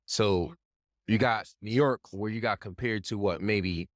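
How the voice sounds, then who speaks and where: A man's voice: lowish voice, unhurried, no echo, clean studio sound. A man in casual slangy podcast talk, often checking in with his listeners. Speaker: podcast participant.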